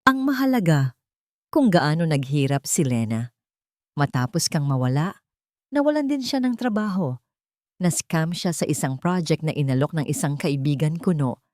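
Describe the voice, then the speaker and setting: A woman's voice: deep voice, very animated, normal speed, darkish tone, no echo, studio quality. Woman narrator as a blunt, protective female friend. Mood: reproachful. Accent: Filipino. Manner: scolding, blunt. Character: protective, indignant woman, loyal friend of a wronged mother.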